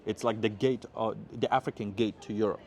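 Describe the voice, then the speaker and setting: A man's voice: on the low side, steady tone, a little fast, darkish tone. A rapper from Morocco talking in a casual interview, explaining his music at length in an earnest way and often agreeing with "exactly". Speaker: rapper guest.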